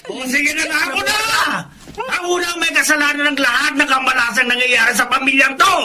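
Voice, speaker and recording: A put-upon Filipino dad in a radio play. The voice is extremely high for a man and theatrical. Clear noise runs behind. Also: brisk. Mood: aggrieved, shouting. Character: put-upon, grumbling, joking father of grown children. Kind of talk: Filipino radio drama acting.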